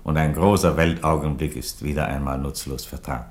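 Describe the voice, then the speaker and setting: An actor in a radio play playing a calm, reasonable Roman emperor, speaking with dry, philosophical courtesy. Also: male voice, extremely low pitch. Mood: measured reproach. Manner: measured, philosophical, drily courteous. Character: calm, ironic, reasonable Roman emperor who loves chickens. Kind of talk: theatrical radio play dialogue.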